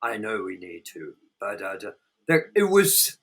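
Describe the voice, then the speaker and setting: A man's voice: lowish voice, big pitch swings, a little slow, fairly bright tone. A man co-hosting a casual movie review chat, rambling emphatically and swearing freely. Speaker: review show co-host.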